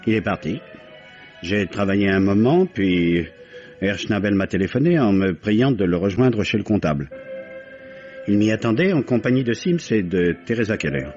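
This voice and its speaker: A man's voice: on the low side, animated, normal speed, a little mellow, close to an everyday voice. An actor playing a polite, evasive businessman under questioning in a vintage French radio mystery, flustered and deferential. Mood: hesitant, recounting. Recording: dull old radio recording, music underneath.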